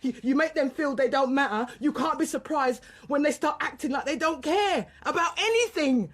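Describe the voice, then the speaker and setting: A woman's voice: sits high, moderate pace, close to an everyday voice. An actress playing an angry, grieving mother under police questioning, defiant and colloquial, in a naturalistic radio drama. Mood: defiant, ranting.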